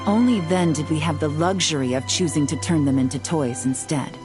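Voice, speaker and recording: Actress as a wry self-assured game heroine, low voice, music clear behind. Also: female voice, fairly quick, close to an everyday voice. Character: confident sharp-witted young woman from a trading harbor. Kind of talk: video game voice acting.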